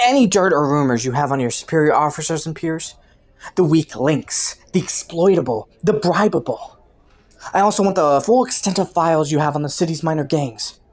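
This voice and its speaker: Low-voiced, flirty, smug criminal informant played by a woman. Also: darkish tone. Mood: sly bargaining. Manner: teasing, playful, drawn-out words with chuckles and sighs. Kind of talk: scripted roleplay audio, intimate second-person monologue.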